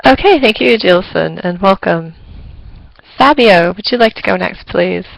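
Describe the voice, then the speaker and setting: A woman's voice: mid-range voice, animated, vocal fry, no room sound, poor audio. A woman teaching an online English class, politely calling on each student to speak. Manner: polite, measured, repeats prompts.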